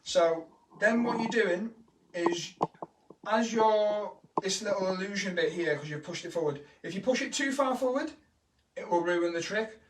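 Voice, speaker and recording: Scouse kids' entertainer, fairly high voice, chatty live tutorial, echoey room, mic set back. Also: male voice, normal speed, slight background noise. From Liverpool, England. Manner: speeds up when excited, chatty and encouraging. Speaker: kids' entertainer teaching magic tricks. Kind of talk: casual live-stream tutorial. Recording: roomy echo, mic at a distance.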